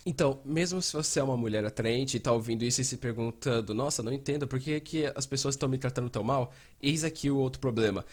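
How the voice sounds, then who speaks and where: A man's voice: mid-range voice, quick pace, hardly any pauses, close to an everyday voice. A Brazilian man dubbing a frank, casual psychotherapist who explains things in a conversational way and acts out bits of dialogue. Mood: mimicking, pointed. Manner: animated, acts out quoted dialogue, casual swearing. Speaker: fan dubber voicing a mental health educator. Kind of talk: dubbed conversational explainer talk.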